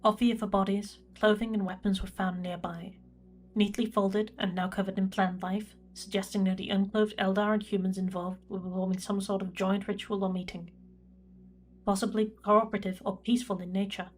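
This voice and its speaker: Woman as a formal, even-toned imperial archivist. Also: mid-range voice, natural voice. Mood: clinical, measured. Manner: formal, measured, clinical. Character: reserved female imperial records prefect, formal and precise. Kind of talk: scripted log narration in sci-fi audio drama.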